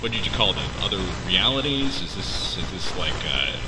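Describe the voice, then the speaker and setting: A man playing a curious, halting late-night radio host, polite and probing, on a noisy old cassette recording. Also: low-pitched, big pitch swings, distant mic. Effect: vintage radio tape.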